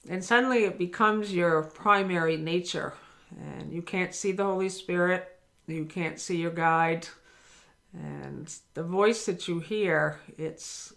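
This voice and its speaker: A woman's voice: fairly low pitch, creaky, fairly bright tone. A woman giving a daily spiritual lesson, earnest and encouraging, speaking directly to the listener. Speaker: spiritual teacher.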